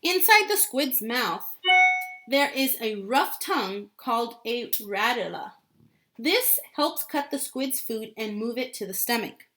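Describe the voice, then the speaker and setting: A woman's voice: fairly high pitch, animated, unhurried, bright, forceful tone. A woman teacher reading a book aloud as a lesson, repeating key points and adding simple explanations for children.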